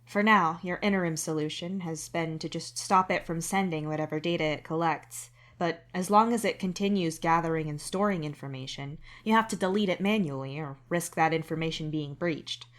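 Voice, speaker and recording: A woman with a slightly low voice narrates an audio story. Her reading is introspective and expressive, as if thinking the character's thoughts through. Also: moderate pace, hardly any pauses. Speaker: narrator and author reading own fan fiction.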